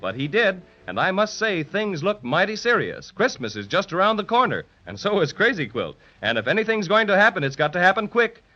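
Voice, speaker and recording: An actor as a folksy announcer narrating a children's story in 1930s radio style. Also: male voice, sits high, sing-song, brisk, few pauses, bright, forceful tone, heavily acted. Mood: concerned, dramatic. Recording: dull 1930s radio recording, faint music.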